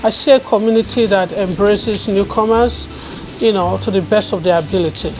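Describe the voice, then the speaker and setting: A woman's voice: medium pitch, moderate pace. Woman settlement worker talking over music. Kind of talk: unscripted interview talk.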